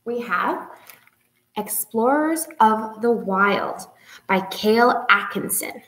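Young lady doing kids storytime, highish voice, sing-songy n expressive. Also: slow pace, low-quality recording. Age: young adult. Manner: expressive, sing-song, child-directed. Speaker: children's librarian hosting a read-aloud. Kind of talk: children's story-time presentation. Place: small indoor room with whiteboard.

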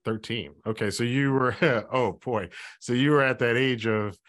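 A man's voice: mid-range voice, moderate pace. A man in his forties hosting a casual interview at a close studio mic, rambling and gesturing as he talks. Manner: rambling, restarts, animated with gestures. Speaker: podcast host. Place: small home studio.

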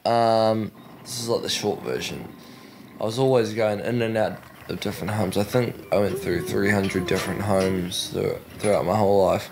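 A man's voice: fairly low pitch, steady tone, normal speed, gravelly, background noise. A young man in his late teens with a New Zealand accent, talking candidly and offhandedly into a phone held at arm's length as he films his own video diary outdoors on a farm. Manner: candid and offhand, with frequent fillers. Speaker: teen documenting his own life. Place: outdoors on a rural farm and in a bike shed. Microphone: phone camera held at arm's length.

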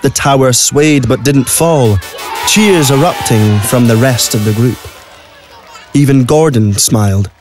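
A man's voice: mid-range voice, measured pace. A man narrates an audio drama in a mid-range voice, telling the story as scripted prose. Music plays faintly behind him.

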